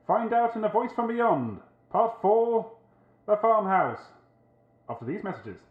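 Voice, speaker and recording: An actor playing an old-time radio serial announcer, theatrical and full of suspense. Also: male voice, high voice, sing-song, a little slow. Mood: suspenseful, dramatic. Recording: echoey, tinny old radio, music.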